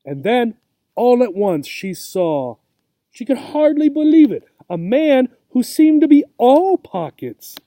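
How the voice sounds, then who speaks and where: A man reading a children's storybook aloud as a teacher would, with simple, deliberate phrasing and asides to young listeners. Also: very high-pitched, sing-song, warm tone, no echo.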